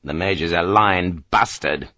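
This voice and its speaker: A man's voice: low-pitched, unhurried, bright, forceful tone, dry recording, studio quality, voice pushed down. A man doing a hot-tempered British officer. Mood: curt. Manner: curt, swearing, clipped retorts. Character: weary, hot-tempered British rifle lieutenant.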